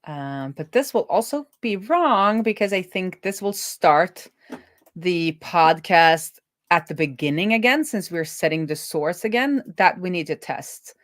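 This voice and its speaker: A woman hosting a casual live coding stream, thinking out loud as she works and often trailing off and rephrasing. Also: fairly low pitch, animated, measured pace, no echo, studio quality. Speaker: host, web developer live coding.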